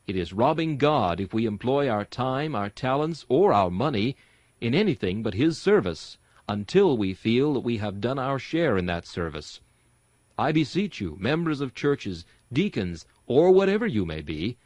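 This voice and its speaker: A man's voice: mid-range voice, darkish tone, dry recording. A man giving an earnest, rhetorical reading of a Victorian sermon, exhorting his listeners. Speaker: sermon reader and host.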